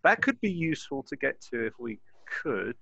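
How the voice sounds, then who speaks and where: A man speaks casually while working out a plan as a role-playing game player. His voice is bright and forward, at an average pitch. The sound is close and dry. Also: very animated, normal speed, vocal fry, no room sound, muffled recording. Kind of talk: casual in-game planning talk. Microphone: close mic on a group video call.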